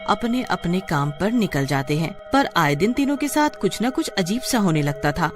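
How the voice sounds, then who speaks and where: Woman telling a cartoon story, lowish voice, music playing behind her. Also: sing-song, moderate pace. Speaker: story narrator. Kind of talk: scripted cartoon storytelling narration.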